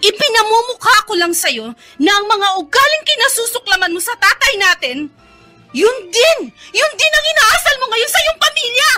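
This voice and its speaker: Concerned Filipino radio drama sister, emphatic, swooping pitch, sharp tone, soft music bed. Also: female voice, animated, moderate pace, full character voice. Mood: indignant. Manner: pleading, emphatic. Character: concerned, reproachful grown younger sister.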